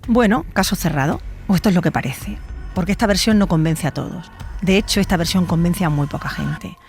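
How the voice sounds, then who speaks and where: A woman's voice: fairly low pitch, brisk, darkish tone, faint music behind. A woman narrating a scripted true crime documentary as a podcast host.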